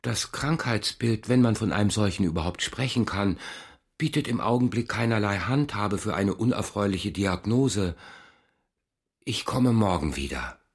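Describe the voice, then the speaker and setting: A man's voice: lowish voice, animated, normal speed, husky. An actor playing a cautious, fatherly country doctor in a radio drama, speaking formally and hesitantly. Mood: grave, clinical. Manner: hesitant, formal, with clinical wording. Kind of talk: German radio drama acting.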